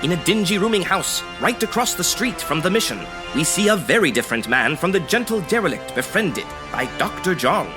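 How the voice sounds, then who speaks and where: A man's voice: high voice, very animated, brisk, few pauses. A man playing a melodramatic narrator in the manner of an old-time radio serial. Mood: dramatic. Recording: music under the voice.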